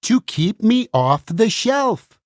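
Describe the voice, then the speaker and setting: Casting: a woman as a grim, urgent banned-book puppet, theatrical, widely swinging pitch, drawn-out delivery, dry sound. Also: big pitch swings, dry recording. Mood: grim, dramatic. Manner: dramatic, urgent, interrogating. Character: frantic, world-weary banned book, burned and warning others. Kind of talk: musical puppet show acting.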